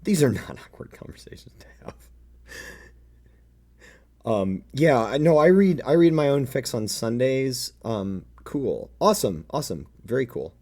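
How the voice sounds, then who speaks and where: Mellow, halting male streamer in his thirties. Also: gravelly. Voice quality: deep. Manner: self-deprecating, halting. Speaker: streamer reading own fan fiction. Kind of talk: casual livestream chat with viewers.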